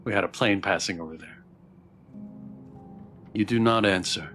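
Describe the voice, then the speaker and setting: Male streamer, slightly low voice, reading visual novel dialogue, casual delivery, music behind. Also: moderate pace, a bit bright. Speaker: streamer playing and reading aloud.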